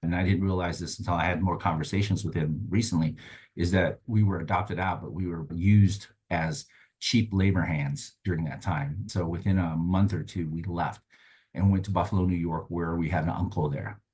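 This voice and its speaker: A man in his fifties from Denver, a guest telling his life story in a reflective, measured way with some hesitations. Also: low-pitched, steady tone, a little mellow, slightly rough. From United States (Denver). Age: 50s. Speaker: guest, nonprofit CEO. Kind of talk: personal storytelling in an interview.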